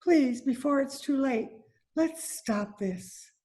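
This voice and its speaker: A woman playing a timid, gentle older lady who speaks politely and hesitantly, in old-time radio drama style. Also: high voice, lively intonation, slow pace. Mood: frightened. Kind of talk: 1940s radio drama acting.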